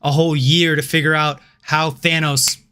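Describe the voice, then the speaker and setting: A man co-hosts a loose podcast conversation, pitched a touch above most men. He argues his view at length and swears casually. He often repeats words as he searches for the next thought. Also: normal speed, clear, resonant tone. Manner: animated, opinionated, swears freely, repeats words when starting. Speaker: podcast co-host. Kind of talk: casual chat.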